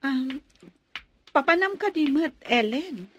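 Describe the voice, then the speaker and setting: A woman's voice: high-pitched, animated, takes it slowly, a bit bright, dull audio, heavily acted. An actress playing a kind, dutiful young woman in a radio drama, speaking earnestly and politely to her elders. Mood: guarded.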